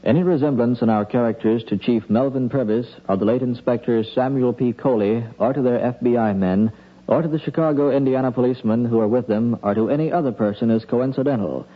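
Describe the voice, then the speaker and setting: A man's voice: medium pitch, brisk. A man announcing formally in a scripted 1950s radio style. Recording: tinny, narrow-band old radio recording.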